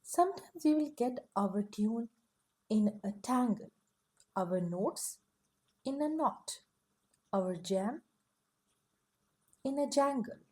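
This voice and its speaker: A woman gently reading a bedtime picture book aloud, soft and soothing with a sing-song rhythm. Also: fairly high pitch, animated, takes it slowly, long pauses. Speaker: storyteller.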